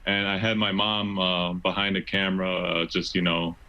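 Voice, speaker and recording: A man's voice: low voice, little pitch movement, normal speed, highs cut off. A man in his twenties with an American accent, answering casually as an interview guest in an earnest, searching way. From Chicago, United States. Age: 20s. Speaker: interview guest, stadium announcer.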